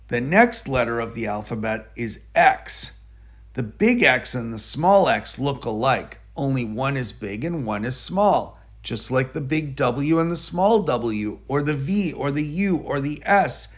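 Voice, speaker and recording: A man narrating a children's picture book aloud in a deliberate, explanatory manner. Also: medium pitch, breathy edge. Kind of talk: children's storybook read-aloud.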